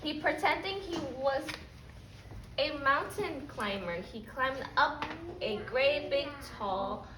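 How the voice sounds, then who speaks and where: A woman with a high, bright voice speaks slowly, as a preschool teacher does with little children. Her phrasing is simple and repetitive. She is recorded in an echoing classroom. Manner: prompting with simple repeated questions and phrases. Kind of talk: teaching young children, question-led lesson and picture book read-aloud. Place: indoor classroom. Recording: roomy, distant mic, faint background noise.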